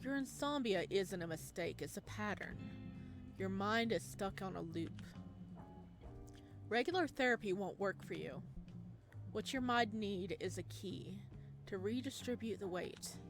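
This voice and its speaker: Woman reading as slow, measured, probing male therapist. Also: mid-range voice, expressive. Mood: calm, insistent. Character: calm, probing male therapist, quietly ominous. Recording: music playing behind the voice.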